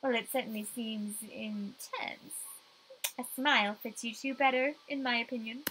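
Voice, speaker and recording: A woman voicing a suave, wealthy married man in a visual novel, flirtatious and condescending in an oily, drawn-out way. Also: fairly high pitch, steady tone, pushed and bright, slight background noise, poor audio. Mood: smooth flirting. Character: suave, flirtatious wealthy husband, Mister Wright.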